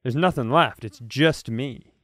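A man hosting a comedy podcast in casual chat, spinning hypothetical riffs as an animated storyteller who acts out imagined quotes and swears freely. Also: mid-range voice, unhurried, no room sound.

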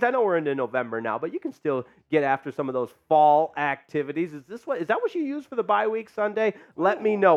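A man's voice: sits high, dull audio. A man hosting a live sports chat show, upbeat and hyped, reading out viewer comments. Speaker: podcast host.

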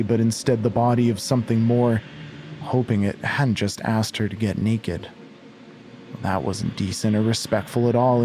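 A man narrating a scripted horror story in a measured, intense, literary style. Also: medium pitch, darkish tone. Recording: faint background noise.

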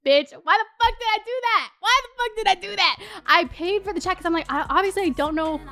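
A woman, telling a candid personal story in a chatty, animated, sweary way full of "like". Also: very high-pitched, fast. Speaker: podcast host.

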